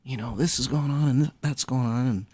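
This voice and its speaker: A middle-aged man speaking as a guest's father in a radio interview, earnest and measured. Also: medium pitch, darkish tone, slightly rough, dry recording. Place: radio studio. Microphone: studio mic. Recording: dry close studio sound.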